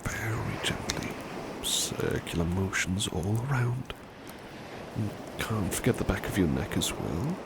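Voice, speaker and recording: A man playing a devoted, polite butler in a soft-spoken ASMR roleplay, gentle and soothing, often dropping into a murmur or whisper. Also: lowish voice, lively intonation, measured pace, very noisy. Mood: soothing, caring. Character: devoted, tender, polite male butler servant.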